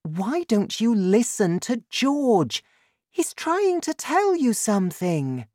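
A woman voicing a firm middle-aged mother who gently scolds in a children's storybook. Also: a little high, big pitch swings, takes it slowly, no echo, studio quality, pitched above the performer's own voice, full character voice. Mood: gently scolding. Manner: admonishing.

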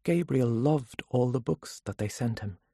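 A storyteller reading scripted fiction as an audiobook narrator, in measured, carefully phrased sentences. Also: mid-range voice, creaky, dark tone, husky, no echo, clean studio sound.

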